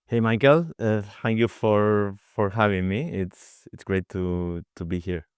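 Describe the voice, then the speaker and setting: Man from Spain, thirties, smooth resonant voice, dry close sound, hesitant, restarts words. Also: fairly low pitch, measured pace, no room sound. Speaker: guest researcher. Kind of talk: podcast interview answers.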